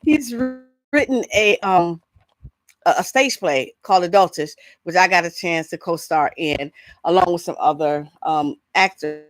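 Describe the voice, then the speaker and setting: A woman's voice: fairly low pitch, a little slow. A middle-aged woman hosting a casual entertainment vlog, chatting loosely and often rambling off into asides. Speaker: host of an entertainment news show. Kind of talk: casual solo vlog chat with announcements.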